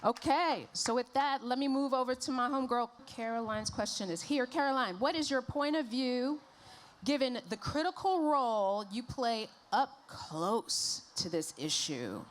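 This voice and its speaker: A woman moderating a conference panel, talking to the crowd in an energetic, emphatic way. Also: fairly high pitch, moderate pace. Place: stage at an advertising festival.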